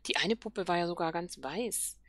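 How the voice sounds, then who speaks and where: A woman giving casual game commentary, musing softly and half whispering to herself. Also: lowish voice, expressive, moderate pace, no echo. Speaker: let's player.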